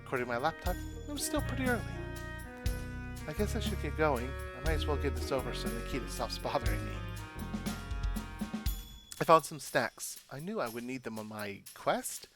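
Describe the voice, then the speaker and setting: A man's voice: mid-range voice, sing-song, moderate pace, pauses often, over music, natural voice. A man voicing an awkward, sardonic young woman who narrates her visual novel adventure in a self-deprecating way. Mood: wry, rambling.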